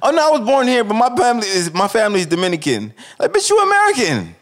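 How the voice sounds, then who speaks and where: Young male podcast co-host, lively swinging pitch, profane and conversational. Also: fast talker, gravelly. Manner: animated, profane, repeats "you feel me". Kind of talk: casual chat.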